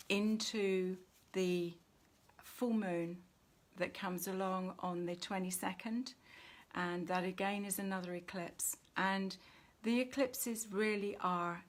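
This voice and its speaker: A woman giving an unscripted spiritual oracle reading in gentle, deliberate phrases with long pauses, sounding low in energy. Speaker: spiritual reader and host.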